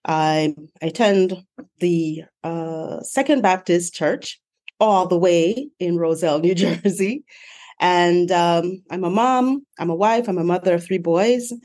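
A middle-aged woman talking in a casual interview over a remote call. Her voice sits a little low, and she is chatty and laughs easily as she strings together long lists about her life. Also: slow pace, darkish tone. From Jamaica. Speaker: guest, author.

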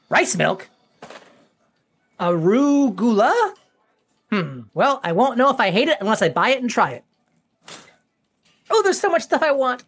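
A woman voicing an excitable, childish young male monkey in a children's cartoon, giddy and whiny. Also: fairly high pitch, unhurried, long pauses, breathy edge, full character voice. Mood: chattering. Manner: giddy, whiny, easily distracted.

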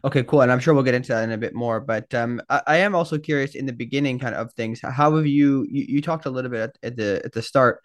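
A man's voice: mid-range voice, quick pace, few pauses, dry recording. A man hosting a podcast interview, asking friendly, rambling questions with restarts. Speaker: podcast host.

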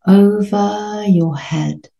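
A woman leading a guided sleep meditation in a soothing, gentle, unhurried manner with long pauses. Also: medium pitch, gravelly, reverberant, highs cut off.

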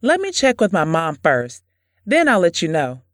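A woman playing a reserved, quiet young woman in an audio drama. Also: lowish voice, huge pitch swings, moderate pace, dry recording, studio quality. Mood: shy, agreeable.

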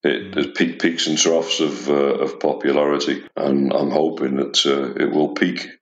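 A man in his forties with a deep, slightly gravelly voice, answering interview questions in a modest, reflective way. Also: steady tone, unhurried, roomy sound, lo-fi sound. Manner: modest, reflective, with many uh and restarts. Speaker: blues musician guest.

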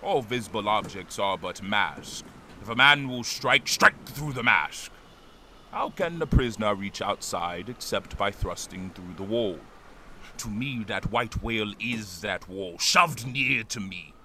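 An actor playing a vengeful old one-legged whaling captain, grandiose and oratorical, in a theatrical audio drama. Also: male voice, on the low side, expressive, unhurried, sharp tone, a little hiss or hum, heavily acted. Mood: intense, brooding. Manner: grandiose, oratorical, brooding to thundering.

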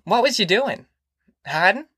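A woman's voice: mid-range voice, sing-song, a little slow, a bit bright, slightly rough, no echo, studio quality, pitched above the performer's own voice, exaggerated voice. A woman voicing an easygoing young man just out of prison, with a folksy rural drawl. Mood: calling out.

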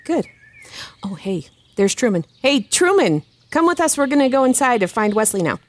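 A woman's voice: fairly high pitch, very animated, moderate pace, dry recording. A woman playing a firm, principled, protective mentor in a naturalistic podcast drama, speaking directly and with assurance. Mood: friendly calling. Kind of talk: naturalistic fiction podcast acting.

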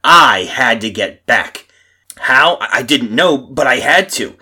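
A man's voice: medium pitch, animated, takes it slowly, bright, forceful tone, slightly rough, exaggerated voice. A man acting a frantic, disbelieving husband lost in a strange past, stammering and repeating himself in a 1930s horror radio play style. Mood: haunted, narrating. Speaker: actor and narrator in a radio drama.

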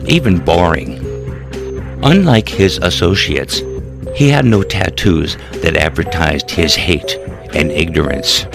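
A man reading his own story as scripted audiobook narration, in a deliberate, dramatic storytelling manner. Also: deep voice, vocal fry. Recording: music bed under narration. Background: music.

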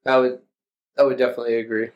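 A man reviewing music on a podcast, chatting casually and explaining things in measured wording. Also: mid-range voice, level tone, lo-fi sound.

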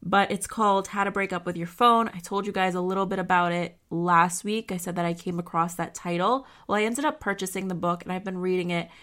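A woman's voice: fairly low pitch, steady tone, a little fast, hardly any pauses, a bit bright. A young woman talks alone into her podcast mic, with a nasal, congested sound from blocked sinuses. She rambles casually, restarts her sentences and leans on fillers. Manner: chatty and rambling, many fillers and self-corrections. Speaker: podcast host. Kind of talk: casual solo podcast chat.

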